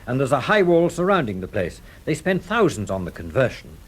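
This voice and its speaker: An actor playing an earnest, worried insurance man with a British accent and a husky voice in a radio drama. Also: medium pitch, sing-song, a little fast. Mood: earnest, concerned.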